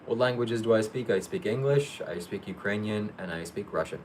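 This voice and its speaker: Man, livestream host, somewhat deep voice, reads questions aloud, short plain answers, low-key. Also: brisk, slight background noise, rough recording. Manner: matter-of-fact, low-key. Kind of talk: casual live Q&A.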